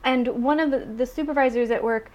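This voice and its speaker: A young adult woman chatting casually in a vlog, animated and full of ums and restarts. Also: high voice, fairly quick, muffled recording. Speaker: vlogger.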